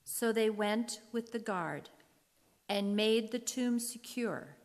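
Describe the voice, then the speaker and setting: A woman narrates scripture in a solemn, scripted style. Her pace is slow and her pitch a little high. The sound carries long reverb from a big hall.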